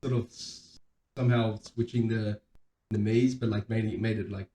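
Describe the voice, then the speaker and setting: Low-voiced man in an echoey room. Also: little pitch movement, normal speed, warm tone. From Australia. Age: 30s. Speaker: podcast co-host. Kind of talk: casual chat. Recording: roomy echo, faint background noise, low rumble.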